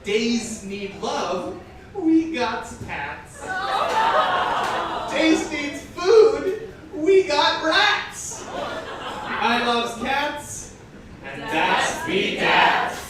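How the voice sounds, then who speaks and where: A man's voice: very high voice, sing-song, drawn-out words, pauses often. A man performing a comic, theatrical live reading with mocking, incredulous asides, recorded indoors at an event. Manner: breaks off into incredulous, sweary asides. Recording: echoey, noisy live room, far from mic. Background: audience crowd noise.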